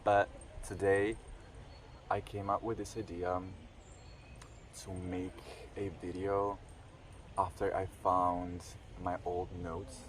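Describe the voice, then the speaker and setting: Young man, 21, very low voice, faint noise behind, casual vlog, hesitant. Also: little pitch movement, slow, long pauses. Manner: hesitant, with frequent fillers and restarts. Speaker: vlogger. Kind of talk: casual reflective vlog talk.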